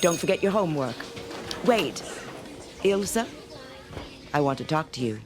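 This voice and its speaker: An actress playing a stern, domineering schoolteacher with a commanding, insistent manner in a radio drama. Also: female voice, deep voice, big pitch swings, a little slow. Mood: cold, accusing. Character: stern, domineering female schoolteacher, coldly obsessive. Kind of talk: vintage-style dramatic radio acting. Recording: music under the voice.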